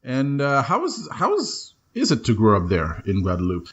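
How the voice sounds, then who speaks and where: A man's voice: mid-range voice, wildly swooping pitch, normal speed, a bit bright, husky, dull audio. A man hosting a podcast, chatting casually and rephrasing his questions as he feels his way through them. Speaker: podcast host. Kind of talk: casual interview.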